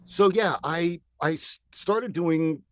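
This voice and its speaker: A man in his forties from California, a voiceover artist chatting as a guest in an upbeat, energetic way with lots of fillers. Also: fairly high pitch, slow, a little hiss or hum. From United States (California). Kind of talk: casual interview chat.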